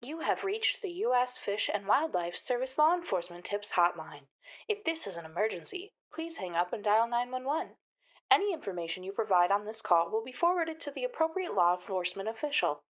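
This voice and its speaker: An actress playing the formal recorded greeting of a government hotline. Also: female voice, mid-range voice, big pitch swings, brisk, close to an everyday voice. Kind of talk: scripted phone announcement.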